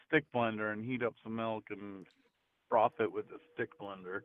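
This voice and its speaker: A man's voice: on the low side, normal speed. A man calling in, chatting casually and hesitantly, with many restarts. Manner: hesitant, full of restarts, animated when surprised. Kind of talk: casual chat. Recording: tinny phone line.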